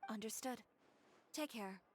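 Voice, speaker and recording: An actress playing a proud, headstrong young fashion designer in a video game, cool and self-assured in manner. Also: female voice, a little high, a little slow, slight background noise, natural voice. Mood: restrained.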